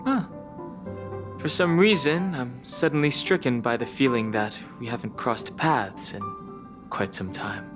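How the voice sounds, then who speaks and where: An actress playing a calm, reflective traveller in a video game, speaking in a measured, pensive way. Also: female voice, very deep voice. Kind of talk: video game character dialogue. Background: music.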